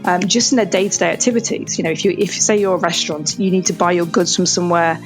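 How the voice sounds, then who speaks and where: A woman with a Yorkshire accent answers interview questions in a slightly low voice. She talks thoughtfully, hedging with little fillers as she explains. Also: fairly even intonation, fast, music in the background, poor audio. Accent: Yorkshire English. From England. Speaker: analytics executive, interview guest.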